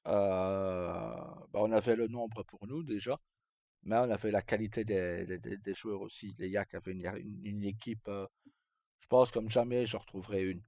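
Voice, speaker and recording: A man chatting casually as a livestream host, telling roleplay stories in a halting, rambling way and often repeating words as he goes. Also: lowish voice, fairly even intonation, vocal fry, mellow, dry recording. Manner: halting, rambling, frequent self-repetition. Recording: dull lo-fi mic, dry.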